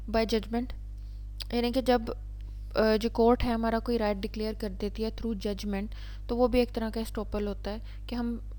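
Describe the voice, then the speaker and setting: A woman's voice: a little high, warm tone. A woman with a Pakistani accent giving an explanatory lecture as a law teacher, restating each point step by step. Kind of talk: explanatory lecture, switching between reading definitions and explaining.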